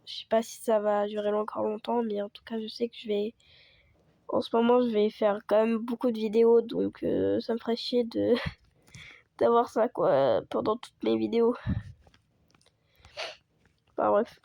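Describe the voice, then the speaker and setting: A woman chatting casually over gameplay as a video creator, hoarse from a cold and rambling with lots of fillers. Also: fairly high pitch, steady tone, unhurried, pauses often, mellow, no echo. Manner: rambling, full of fillers and restarts.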